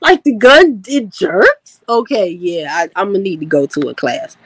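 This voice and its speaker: A woman's voice: medium pitch, very animated, unhurried, no echo. A woman hosting a casual livestream chat, laughing easily and talking in loose slang. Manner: laid-back, laughing, slangy storytelling. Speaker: host.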